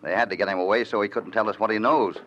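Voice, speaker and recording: A man playing a steady, commanding cowboy hero. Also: on the low side, very fast, noisy background, natural voice. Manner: steady, authoritative. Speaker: lead hero. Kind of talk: 1940s radio acting. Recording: dull old radio recording.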